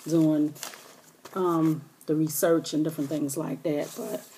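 A middle-aged woman talking quietly and hoarsely to her camera at home, rambling with many fillers as she gives her viewers an update. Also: deep voice, fairly even intonation, unhurried, background noise. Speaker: vlogger. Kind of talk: casual direct-to-camera update. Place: small bedroom indoors. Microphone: webcam or laptop camera close up.

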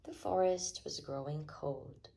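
A woman reading a children's story aloud with expressive, deliberate narration, over faint handling noise. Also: low voice, creaky. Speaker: storybook reader.

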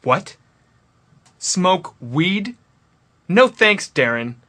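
A man playing a reluctant, anxious young man in a cartoon dark comedy, hesitant and protesting. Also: high voice, very animated, drawn-out words, heavily acted. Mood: uneasy. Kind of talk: animated dark comedy dialogue.

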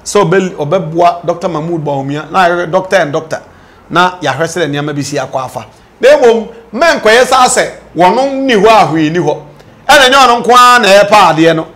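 A Ghanaian-accented man in middle age speaks in a high-set voice as a political commentator. He switches between languages, presses his points with questions and repeats phrases for emphasis. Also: expressive, normal speed, fairly bright tone. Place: indoor studio set.

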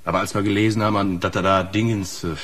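An actor playing a sheepish, defensive male trucker informant with a husky voice and blunt colloquial talk in a radio crime drama. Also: on the low side, fairly even intonation, fast talker, fairly bright tone. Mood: sheepish, confiding.

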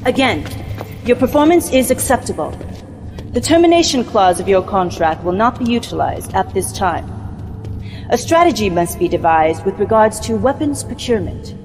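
A woman's voice: a little high, a little mellow, a little hiss or hum. An actress playing a dutiful, controlled female military mentor in a science fiction game, giving clipped, formal briefings. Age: young adult. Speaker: actor in game cutscenes. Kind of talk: formal scripted mission briefing. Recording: long hall reverb, music underneath. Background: background music.

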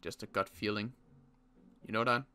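A young man playing a protective survivor in an in-character roleplay, talking in a halting way with frequent restarts. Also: lowish voice, fairly even intonation, pushed and bright, clear, resonant tone. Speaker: roleplay series player.

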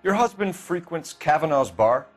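Casting: accusing American police detective, clipped and formal, widely varied pitch, faint music underneath, game cutscene. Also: male voice, very animated, normal speed. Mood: probing. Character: stern, accusing young male police detective. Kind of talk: dramatic game interrogation acting.

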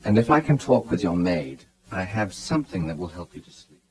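An earnest, attentive male doctor in a radio drama. His voice is on the low side, with animated, wide-ranging intonation. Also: brisk, poor audio. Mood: concerned.

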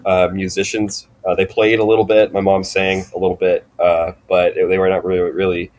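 A young man in a casual interview, telling stories in a halting, loose way with lots of "like". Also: low voice, little pitch movement, darkish tone. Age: young adult. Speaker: band drummer, interview guest. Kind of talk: casual chat, storytelling.

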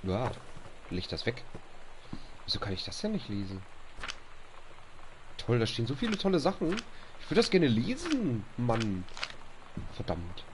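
A man's voice: medium pitch, wildly swooping pitch, unhurried, pauses often, noisy background. A young man with a stuffy nose chatting casually over gameplay, reading on-screen text aloud and grumbling at bugs. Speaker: let's play host.

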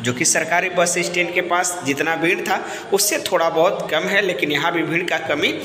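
A man's voice: a little high, moderate pace, pushed and bright. A man, speaking casually to viewers as a vlogger on a phone held at arm's length outdoors. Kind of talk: casual festival vlog, addressing viewers. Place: crowded outdoor festival ground at night. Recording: echoey, reverberant phone recording outdoors.

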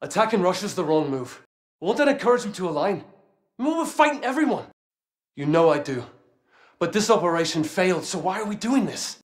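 Frustrated militant, slow and swinging. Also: male voice, high voice, very animated, reverberant, heavily acted. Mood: frustrated doubt. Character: doubting, frustrated male militant. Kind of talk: video game voice acting.

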